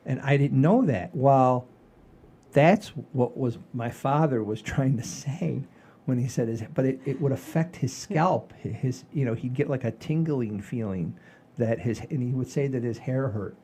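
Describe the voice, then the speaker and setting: An older man hosting a podcast, telling stories in a folksy, rambling, animated way with a husky voice. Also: mid-range voice, unhurried, slight background noise. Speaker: podcast host. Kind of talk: casual chat and storytelling.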